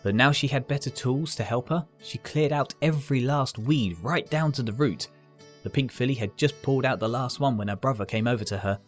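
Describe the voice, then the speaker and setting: A man reading a scripted fan fiction story as its narrator, with soft guitar music behind him. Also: medium pitch, fairly quick.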